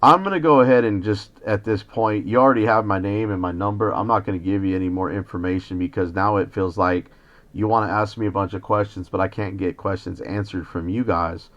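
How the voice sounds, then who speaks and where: A man's voice: on the low side, fairly quick. An American man, a citizen journalist on a phone call, arguing in an indignant, lecturing manner as he confronts the police. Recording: dull lo-fi phone call recording.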